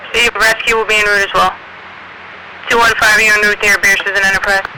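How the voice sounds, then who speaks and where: Male dispatcher on scanner, pretty high voice, short clipped replies, noisy radio. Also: level tone. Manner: brisk, procedural, clipped. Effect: police radio. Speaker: police dispatcher. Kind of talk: terse police radio dispatch.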